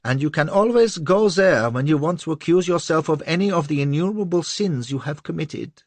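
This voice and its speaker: A man voicing a timid little male church official who pleads in a high, squealing, frantic voice. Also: sing-song, normal speed, no echo, heavily acted. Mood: pleading. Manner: frantic and whimpering.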